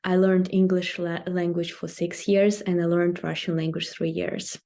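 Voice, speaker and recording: A woman from Ukraine, a native Ukrainian speaker with a Ukrainian accent, answering interview questions hesitantly with many ums and pauses. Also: on the low side, level tone, gravelly, warm tone. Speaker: guest, Ukrainian language teacher.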